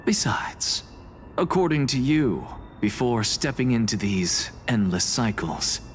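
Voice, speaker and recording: An arrogant young sage, video game voice, scornful, slow, lively pitch, music behind. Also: male voice. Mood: cool. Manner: scornful, dismissive, measured. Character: arrogant scholar sage, young man, sharp-tongued.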